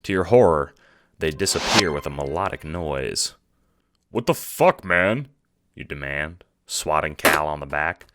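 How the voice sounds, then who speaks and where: An extremely low-pitched narrator tells an audio drama story in the second person. The home recording carries faint noise behind the voice. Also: normal speed.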